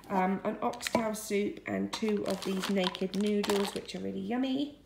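A woman's voice: medium pitch, gravelly. A woman, chatting casually as she lists her family's weekly groceries for a home vlog, filmed on a phone at arm's length. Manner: chatty, listing items one after another. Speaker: family vlogger. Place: home kitchen, indoors. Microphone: phone camera at arm's length. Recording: echoey kitchen with faint noise.

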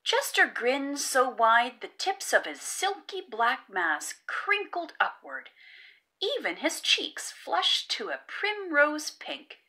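Slow, very animated woman storyteller. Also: high voice. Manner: expressive, careful storytelling. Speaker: read-aloud storyteller. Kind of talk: children's picture book narration.

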